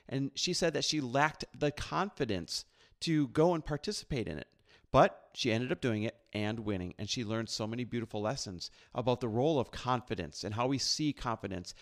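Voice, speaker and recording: Male podcast host, energetic and effusive, mid-range voice, conversational interview delivery. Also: mid-range voice. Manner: enthusiastic, emphatic praise. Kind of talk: upbeat podcast intro and interview.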